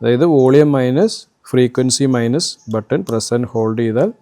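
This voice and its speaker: A man's voice: mid-range voice, normal speed, warm tone. A man with a Malayali accent from Kerala presents an electronics demo, explaining things informally, step by step. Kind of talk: informal product demo and tutorial.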